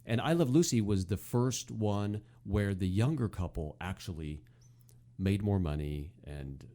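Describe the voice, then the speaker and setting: A man's voice: on the low side, lively intonation, unhurried, mellow. A man co-hosting a podcast, giving casual conversational commentary in a hesitant, explanatory storytelling way. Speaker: podcast co-host.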